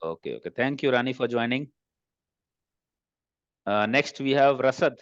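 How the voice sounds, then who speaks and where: A man's voice: mid-range voice, animated, moderate pace, no room sound. A man hosting an online class as instructor, informally moderating introductions over a video call with dull, dry sound.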